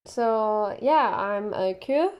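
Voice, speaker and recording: A woman's voice: mid-range voice, expressive, drawn-out words, clean tone. A young woman whose first language is not English, a player on a role-playing podcast, speaking hesitantly with many fillers and giggles. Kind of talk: casual chat.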